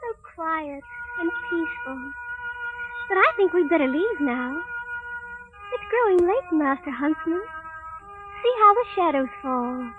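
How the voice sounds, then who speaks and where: Sweet innocent princess, super high and slow, soft lilting voice, tinny old film audio with loud orchestra. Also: female voice, sing-song, over music, exaggerated voice. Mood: gentle. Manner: soft, breathy, lilting, timid. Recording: tinny 1930s film soundtrack with orchestral score.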